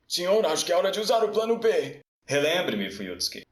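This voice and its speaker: A man's voice: sits high, fast talker, roomy sound. A man performing lines for a comedic anime parody dub.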